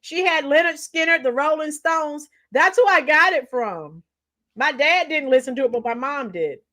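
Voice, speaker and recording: A middle-aged woman with a hoarse, tired voice chatting casually with livestream viewers, reading out comments and singing bits of songs. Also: high-pitched, lively intonation, moderate pace, pushed and bright. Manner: chatty, reads out comments and sings along to songs. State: hoarse, losing her voice. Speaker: livestream host.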